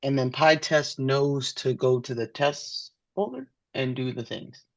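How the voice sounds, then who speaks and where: A male student with a mid-pitched voice talks himself through technical material. His delivery is halting and tentative as he confirms what he understands. The recording is somewhat dull and very dry. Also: dry recording. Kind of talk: thinking aloud while reading through code.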